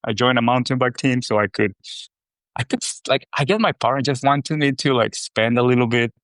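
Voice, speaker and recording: Relaxed Costa Rican man in a dry room. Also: mid-range voice, a little fast, dry recording. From Costa Rica. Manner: relaxed, rambling, with fillers and restarts. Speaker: guest, brand co-founder. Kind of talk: casual interview talk.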